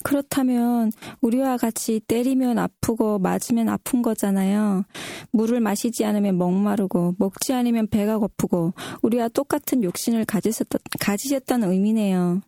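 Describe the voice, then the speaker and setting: A woman's voice: mid-range voice, normal speed, few pauses, mellow, no room sound, clean studio sound. A woman in a prepared-sounding Bible discussion, politely restating and reflecting as a co-host. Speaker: co-host discussing scripture with a pastor.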